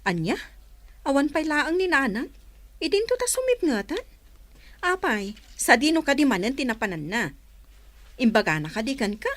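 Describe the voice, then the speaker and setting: A woman's voice: high-pitched, sing-song, normal speed, pauses often, no echo. A woman playing an irritable, complaining aunt in a radio drama, with an Ilocano accent. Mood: irritated. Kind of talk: Filipino radio drama acting.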